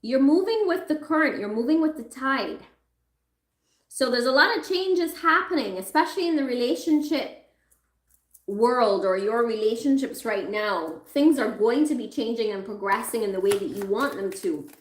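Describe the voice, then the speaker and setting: A woman reading tarot cards for her viewers in a chatty, reassuring way. She is losing her voice and keeps clearing her throat. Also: a little high, expressive, moderate pace, roomy sound. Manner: chatty, reassuring, thinks aloud while pulling cards. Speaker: tarot reader.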